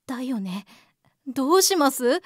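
A woman's voice: sits high, very animated, measured pace, no echo, studio quality, exaggerated voice. A woman acting as a sweet, earnest, easily spooked young woman in a voice drama. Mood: worried.

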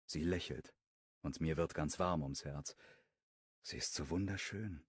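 A man narrating a first-person romance audiobook in a calm, wry tone. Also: a bass voice, a little slow, slightly rough, no echo, clean studio sound.

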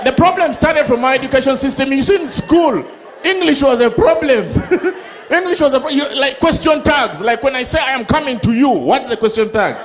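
A middle-aged man with a Ugandan accent doing a loud, playful stand-up set on a big studio stage with a handheld mic. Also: very high-pitched, animated, moderate pace. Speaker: stand-up comedian. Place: large studio stage with live audience. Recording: live stage sound with crowd and music. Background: audience laughter and music.

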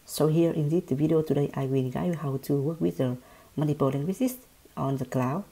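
A woman with a Vietnamese accent, a native Vietnamese speaker, walking through a software screen recording in a halting way, often repeating words and restarting. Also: low-pitched, slightly rough, low-quality recording. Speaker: software tutorial presenter.

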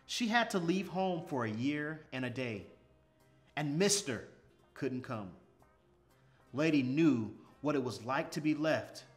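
A middle-aged man reading a children's storybook aloud with expressive, measured, dramatic phrasing and pauses. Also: medium pitch. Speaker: storybook reader. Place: small furnished indoor room, seated. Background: music.

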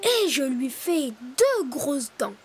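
A performer voicing a brave, cheerful little boy rabbit in a preschool cartoon, explaining things clearly and encouragingly. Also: high voice, very animated, slow, heavily acted. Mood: instructive. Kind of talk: preschool cartoon voice acting, instructing. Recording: music behind the voice.

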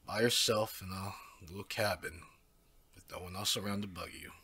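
A man playing a smug, menacing werewolf pack leader in an ASMR audio drama, speaking with raspy, mock-courteous condescension. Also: deep voice, fairly even intonation, moderate pace, pushed and bright, full character voice. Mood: gloating, domineering.

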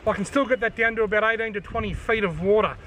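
A man's voice: high-pitched, quick pace, fairly bright tone, a little hiss or hum. An Australian man in his forties, talking casually to camera outdoors at the edge of a forest, explaining things in a practical way and repeating the main points. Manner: chatty, practical, repeats key points for the viewer. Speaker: fishing channel host. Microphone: camera on a tripod at chest height.

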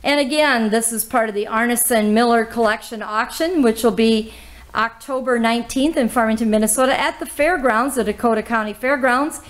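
A woman, hosting a casual auction walkthrough in an old barn full of cars, listing items with little "uh" pauses. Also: a little high. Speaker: auctioneer host giving a car walkthrough. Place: inside old barns and sheds full of cars.

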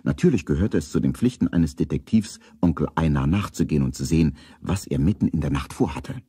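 A storybook narrator for a children's detective radio play. The voice is low and mellow with a slightly husky grain and a lively melody. It sounds close and dry. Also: male voice, brisk, no echo, exaggerated voice. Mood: measured storytelling.

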